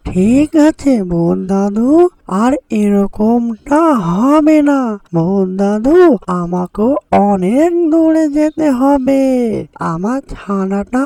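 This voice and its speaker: A woman voicing a meek, pleading mother bird in a cartoon, with a drawn-out theatrical delivery. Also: a little high, lively intonation, dark tone, no echo, full character voice. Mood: apologetic. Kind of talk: slow, theatrical cartoon voice acting.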